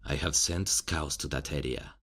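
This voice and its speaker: A man playing a grave knight in a fantasy audio roleplay, speaking in a solemn, formal and deliberate way. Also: extremely low pitch, fairly bright tone, heavily acted. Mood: grave, foreboding. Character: grave knight reporting war to the royal council.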